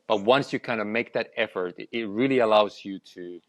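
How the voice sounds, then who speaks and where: A man's voice: on the low side, fairly quick, no echo. A man, a guest on a podcast, speaking reflectively and often repeating words as he gathers his thoughts. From Finland. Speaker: guest, health and lifestyle coach. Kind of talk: conversational podcast interview.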